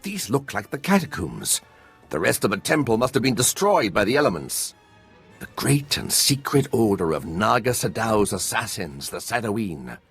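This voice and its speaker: An excitable scholar, wildly swooping voice. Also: male voice, on the low side, normal speed, husky, heavily acted. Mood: hushed awe. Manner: giddy, effusive, theatrical. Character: excitable, scholarly tomb-raiding archaeologist, giddy over ancient history. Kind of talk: video game voice acting. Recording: music behind the voice.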